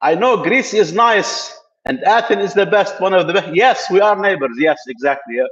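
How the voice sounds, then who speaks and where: A man's voice: high-pitched, lively intonation, normal speed, fairly bright tone, dull audio. A middle-aged man from Lebanon hosting a casual tech live stream from a gym, talking loosely with many fillers and restarts. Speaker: tech channel host. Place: building gym, indoors.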